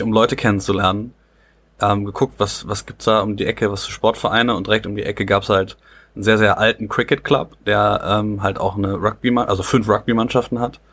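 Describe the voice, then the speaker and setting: A man's voice: fairly low pitch, fairly bright tone, husky. A young man, a rugby player, chatting about how he started, with frequent fillers. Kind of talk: casual interview answers.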